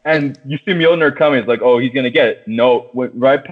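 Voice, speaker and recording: Male podcast host, mid-pitched, slangy and sweary, informal film chat, narrow telephone-like audio. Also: fairly quick. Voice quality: slangy, profane. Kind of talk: casual chat, film discussion. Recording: tinny phone-like sound.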